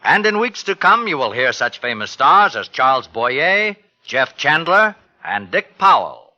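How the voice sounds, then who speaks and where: A man's voice: fairly high pitch, big pitch swings, unhurried, bright, forceful tone, highs cut off. A man announcing a suspense programme in a dramatic 1950s radio style. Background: prominent music.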